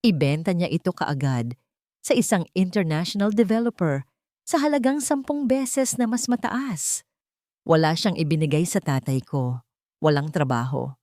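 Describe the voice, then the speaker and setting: A woman's voice: on the low side, expressive, moderate pace, a little mellow, dry recording, studio quality, natural voice. A woman voicing a grieving, polite young woman who seeks justice for her father in an audiobook drama, solemn and holding back emotion. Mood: pained.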